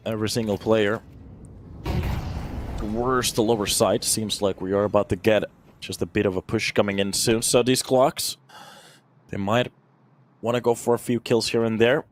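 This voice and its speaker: A man's voice: lowish voice, normal speed, pauses often, slight background noise. Chatty thirty-something male esports caster. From Finland. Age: 30s. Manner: energetic, chatty, answers viewer questions between plays. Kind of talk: solo play-by-play commentary with chat replies.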